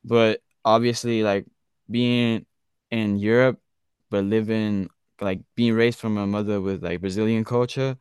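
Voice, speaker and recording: Laid-back young man, low smooth voice. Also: fairly even intonation, unhurried, darkish tone, no room sound, studio quality. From Switzerland. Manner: laid-back slang, says "bro" often. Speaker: guest artist. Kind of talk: casual interview chat.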